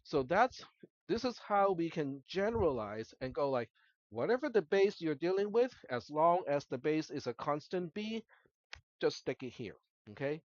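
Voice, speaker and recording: A male college instructor whose native language is Cantonese explains material to his class. His voice sits a little high for a man, and he often tacks on short questions to check understanding. The sound is dry and slightly dull. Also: sing-song, normal speed, no room sound. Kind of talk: explanatory classroom lecture to students. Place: classroom, screen-recorded lecture.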